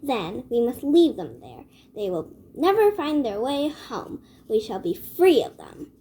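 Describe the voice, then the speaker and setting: A woman's voice: on the high side, sing-song, measured pace, mellow, slight background noise. A woman voicing a cold, scolding, selfish stepmother in a fairy tale, bossy and scornful. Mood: cold, scheming.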